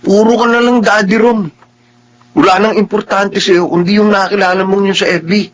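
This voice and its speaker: High-voiced pushy jealous young suitor, acted by a man. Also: fairly even intonation, normal speed, slight background noise, natural voice. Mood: jealous, accusing. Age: young adult. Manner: pleading, accusing. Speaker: radio drama actor. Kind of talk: Filipino radio drama acting.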